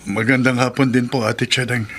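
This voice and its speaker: An actor playing a good-natured, henpecked taxi driver in a horror radio drama, hesitant and often thinking aloud. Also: male voice, medium pitch, flat delivery, moderate pace, a bit bright, slight background noise, natural voice. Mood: polite.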